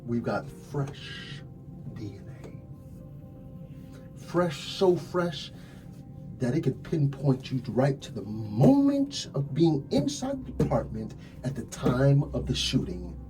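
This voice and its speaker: Man playing police detective, smug, dramatic, mellow dark tone, drawn-out hushed phrasing, ASMR roleplay, music underneath. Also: mid-range voice, big pitch swings, poor audio. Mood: smug, theatrical. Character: smug theatrical male police detective interrogating a suspect.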